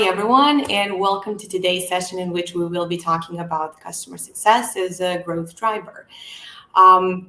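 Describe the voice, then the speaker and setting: A woman from Serbia presents a business session in a measured, earnest way, often pausing on "uh" and "um". Her voice sits a little low. The room around her sounds echoey. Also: gravelly, bright, forceful tone. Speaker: conference speaker.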